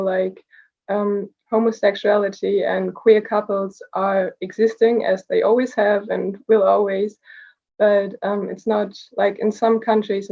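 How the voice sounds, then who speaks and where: A woman's voice: medium pitch, dark tone, dull audio. A young woman from Germany with a slight German accent, talking as a writer in an interview, thoughtful and hesitant with many fillers. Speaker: award-winning writer, guest. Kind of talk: casual interview over video call.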